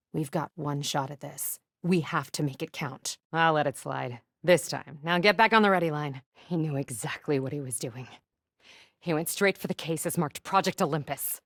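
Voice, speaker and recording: A woman's voice: sits low, no room sound. A woman voicing a tough, no-nonsense female police captain in a video game, speaking in a terse, authoritative, dry manner.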